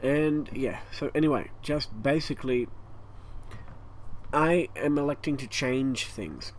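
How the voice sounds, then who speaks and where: A man with an Australian accent and a croaky, hoarse voice, rambling through a casual channel update at home, stopping often for breath. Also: mid-range voice, animated, takes it slowly. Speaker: YouTube gaming channel host. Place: hot home room with a fan running. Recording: faint fan noise behind.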